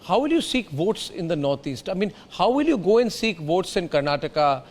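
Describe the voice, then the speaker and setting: Man, Indian accent, raised high voice, news debate host, insistent, rhetorical, confrontational, repeats phrases for emphasis. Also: big pitch swings, a little fast, darkish tone. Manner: combative, insistent, repetitive, rhetorical questions. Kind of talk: heated political debate and commentary.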